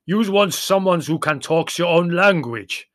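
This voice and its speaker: Loud, gruff Greek taxi driver. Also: male voice, high voice, expressive, measured pace, no echo, clean studio sound, voice pushed up. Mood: indignant. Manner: broken English with misplaced plurals, emphatic. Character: big, gruff, boisterous Greek taxi driver, boastful and helpful.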